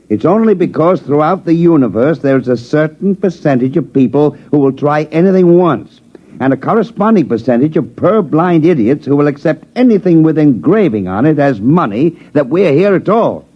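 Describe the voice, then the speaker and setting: An actor playing a sour, exasperated veteran chief inspector, grumbling and sardonic, in a 1950s radio drama. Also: male voice, a little high, expressive, normal speed. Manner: grumbling, blustery, sardonic speechifying. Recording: muffled old radio recording.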